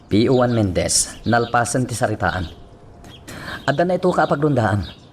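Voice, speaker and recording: A man's voice: mid-range voice, sing-song, normal speed, a little hiss or hum, natural voice. A man with an Ilocano Filipino accent playing a stern, dutiful police chief, firm and authoritative, in a radio drama. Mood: curt, firm. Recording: music bed behind the voice.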